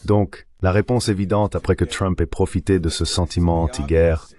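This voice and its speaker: A man with a low-pitched voice speaks as a panelist. He delivers political commentary in the form of a translated voice-over, as part of a discussion. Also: steady tone, normal speed, mellow.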